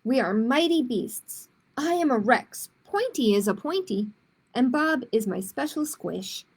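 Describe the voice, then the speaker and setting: A woman's voice: a little high, expressive, measured pace, husky, full character voice. A woman voicing a small, nervous young storybook dinosaur who tries to be brave, in an earnest, dramatic way.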